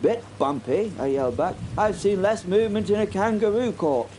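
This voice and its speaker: A man's voice: sits high, animated, normal speed, dark tone, a little hiss or hum, voice pushed up. A man voicing a plucky young English First World War pilot who narrates a horror audio drama in an upper-class English accent, rambling and stammering with self-deprecating asides. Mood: dramatic. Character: plucky young British WWI pilot, future wing commander.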